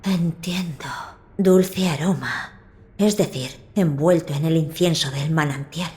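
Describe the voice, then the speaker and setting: Low-voiced, solemn young boy lord. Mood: curious, thoughtful. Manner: measured, formal, softly spoken. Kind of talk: dubbed video game cutscene acting.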